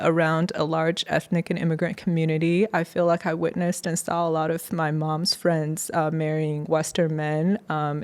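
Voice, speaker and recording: Slightly low-voiced middle-aged woman, academic guest. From born in China, moved to the US at eight. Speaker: podcast guest, sociology professor. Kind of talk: conversational interview storytelling.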